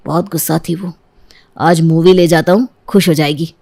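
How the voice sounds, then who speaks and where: A woman voicing an affectionate but jealous and sarcastic young husband in an animated story. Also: deep voice, normal speed, gravelly. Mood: muttering to himself. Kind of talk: animated story dialogue in Hindi.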